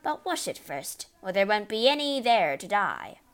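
A woman's voice: mid-range voice, very animated, moderate pace, natural voice. A woman voicing a scornful, scolding storybook mother, exasperated and apt to shriek at her husband.

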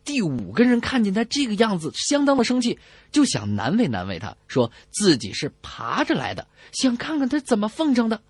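A man's voice: sits high, wildly swooping pitch, a little fast, breathy edge, no echo. A man hosting a radio comedy show, telling jokes and reading dedications in a theatrical storytelling manner. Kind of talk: joke telling and song dedications.

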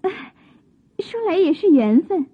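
An actress playing a gentle, modest young maiden of old China in a Chinese radio drama, with soft, coy period diction. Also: female voice, extremely high pitch, big pitch swings, unhurried, exaggerated voice. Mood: wistful, recounting. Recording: muffled narrow-band old radio drama.

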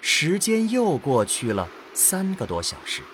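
A man's voice: a little high, wildly swooping pitch, unhurried, fairly bright tone, a little hiss or hum. A man narrating a scripted science fiction audio drama.

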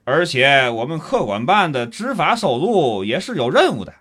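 Guy doing a swaggering tianjin man for a comic radio play, highish voice, pitch all over the place, grumbly and pompous. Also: big pitch swings, normal speed, fairly bright tone, husky, heavily acted. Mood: pompous, scheming. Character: grumbling city man and pompous scheming bureaucrat. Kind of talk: comic audio drama acting.

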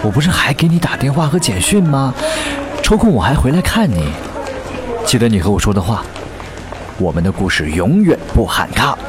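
An actor in a radio drama playing a young aspiring singer, an affectionate but tired boyfriend who speaks coaxingly. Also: male voice, medium pitch, sing-song, normal speed, background noise, lightly acted. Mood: firm, resigned. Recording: music behind the voice. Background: background music.

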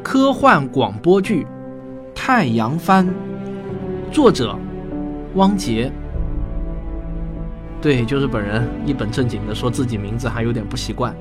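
A man's voice: medium pitch, animated, a little slow, long pauses, music in the background. A man reading scripted titles and credits as the announcer of a radio drama.

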